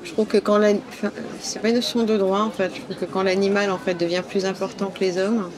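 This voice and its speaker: A woman gives halting answers in a street interview at a summer outdoor event. Her voice sits in a middle register and she restarts often, leaning on fillers. A loud crowd murmurs behind her. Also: medium pitch, little pitch movement. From France. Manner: hesitant, many fillers and restarts. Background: crowd chatter.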